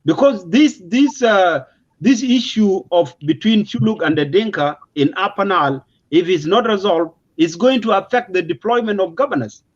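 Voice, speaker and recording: Male political commentator, South Sudanese accent, high-set voice, emphatic and repetitive explainer, muffled low-fidelity recording. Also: lively intonation, normal speed. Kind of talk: unscripted explanatory political discussion. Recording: dull, lo-fi audio.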